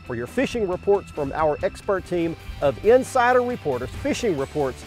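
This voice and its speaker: A middle-aged man hosting a fishing show from a bass boat on a lake, talking in a folksy, enthusiastic way. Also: big pitch swings, moderate pace, faint music behind. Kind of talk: outdoor show presenting.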